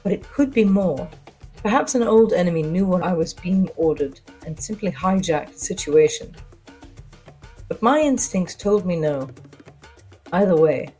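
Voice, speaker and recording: A woman playing a witty, self-assured spy and socialite, narrating her own adventure with arch, wry, theatrical pauses. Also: lowish voice, animated, dark tone, breathy edge, soft background music. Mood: cool, calculating. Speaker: narrator of an audio drama. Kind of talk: first-person scripted dramatic narration.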